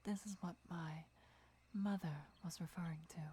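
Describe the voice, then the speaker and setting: A woman playing a gentle, devoted vampire lover who teaches patiently in a breathy, measured whisper for an ASMR roleplay. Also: on the low side, fairly even intonation. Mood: calm, instructive. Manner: measured, deliberate, soft-spoken explaining.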